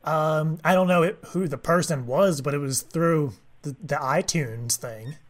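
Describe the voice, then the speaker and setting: A man making a prank call, speaking in a deadpan, mock-earnest way with halting, rambling delivery. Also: a little high. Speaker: prank caller, host of a prank call show.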